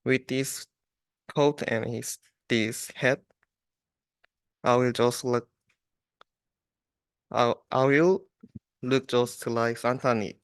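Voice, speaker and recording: A young adult man, a student, reading a rhyming storybook aloud with halting restarts. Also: medium pitch, steady tone, clean tone, dry recording.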